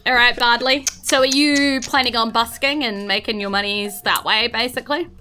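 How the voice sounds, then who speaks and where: A woman's voice: on the high side, normal speed, sharp tone. A woman with a New Zealand accent, casually narrating and chatting as the game master of a tabletop role-playing game.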